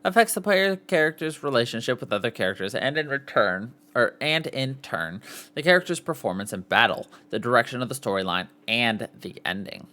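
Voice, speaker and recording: A man with a mid-pitched voice reads written material aloud as a podcast host. His delivery is informal, with the odd off-the-cuff aside and self-correction as he works through the text. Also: big pitch swings, brisk, bright, forceful tone. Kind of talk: reading an article aloud with casual asides.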